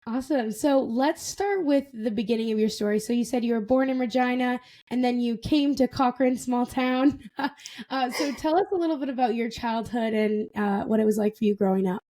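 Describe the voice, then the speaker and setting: A young woman hosts a relaxed podcast chat with eager enthusiasm, stressing her words by repeating them. Her voice sits a little high, and she laughs easily between questions. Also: a little fast. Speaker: podcast host. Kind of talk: casual podcast interview.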